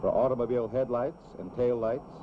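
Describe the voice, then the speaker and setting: A man's voice: medium pitch, background noise. Male educational film narrator, dull lo-fi soundtrack. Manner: measured, clearly explanatory delivery for children.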